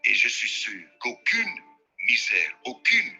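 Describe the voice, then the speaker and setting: A man's voice: medium pitch, huge pitch swings, a little slow, gravelly, bright, forceful tone, husky, faint music behind. A man speaking in an emphatic, rallying way.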